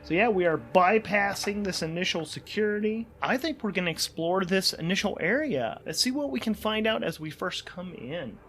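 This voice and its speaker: A man's voice: high voice, lively intonation, moderate pace, hardly any pauses, fairly bright tone, soft background music. A man hosting a solo tabletop game, thinking aloud informally and correcting himself as he goes, with ambience behind him. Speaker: solo game player and podcast host.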